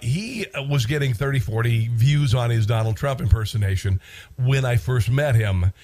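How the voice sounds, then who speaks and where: A man's voice: medium pitch, moderate pace, a little mellow. A congested man with an American accent hosting talk radio in a casual, wry monologue, repeating phrases for emphasis.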